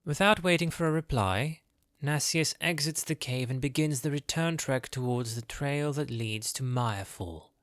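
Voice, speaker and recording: A man's voice: medium pitch, normal speed, few pauses, fairly bright tone, no room sound, studio quality. A man narrating a fantasy audio drama from a script.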